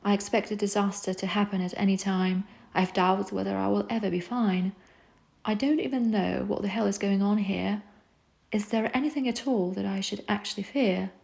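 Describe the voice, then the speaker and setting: A woman reading rhyming self-help poems aloud in a soft, soothing, unhurried way. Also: medium pitch, steady tone, creaky. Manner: soft, soothing, slow recitation. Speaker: poetry reader.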